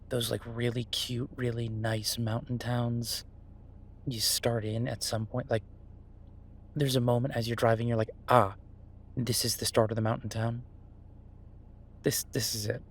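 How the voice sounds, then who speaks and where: A man playing an earnest, easygoing chef in a roleplay audio drama, talking haltingly and reassuringly to a partner. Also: mid-range voice, steady tone, breathy edge. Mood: casual, enthusiastic. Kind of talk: roleplay audio drama, conversational monologue to listener. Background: ambient sound effects.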